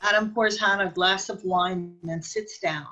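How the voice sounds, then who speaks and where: A woman's voice: medium pitch, steady tone, normal speed, bright, forceful tone, low-quality recording. A woman reading scripted narration for a radio drama as its storyteller.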